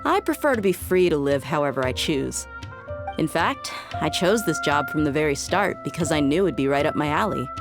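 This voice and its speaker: A woman's voice: sits low, lively intonation, moderate pace, music in the background. A woman playing a tough, easygoing and bold desert mercenary in a video game, speaking in a casual, self-assured way. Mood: wry.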